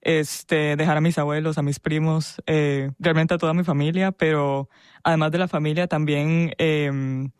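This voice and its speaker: A woman's voice: low-pitched, fairly even intonation, normal speed, clean tone, no room sound, studio quality. A woman, a guest talking casually in an interview, chatty and full of small fillers. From Costa Rica. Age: 20s. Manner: warm, chatty, with frequent laughs and fillers.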